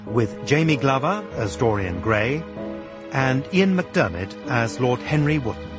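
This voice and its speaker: Lively male radio announcer over music. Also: mid-range voice, unhurried. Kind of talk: scripted programme credits.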